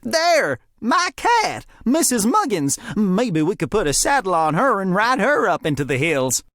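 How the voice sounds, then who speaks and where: A man voicing an excitable little male deputy with a squeaky, eager voice. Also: measured pace, no echo, clean studio sound, heavily acted.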